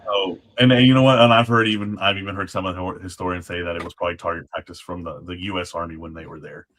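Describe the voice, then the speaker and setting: Deep voiced guy, guest on a chat show, explaining stuff casually, kinda halting with little asides. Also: steady tone, fairly bright tone. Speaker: guest, former Alamo guard. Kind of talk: casual interview storytelling.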